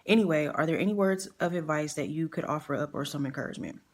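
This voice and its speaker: A woman's voice: low voice, quick pace, darkish tone. A woman reading a personal message aloud in a plain, confiding style.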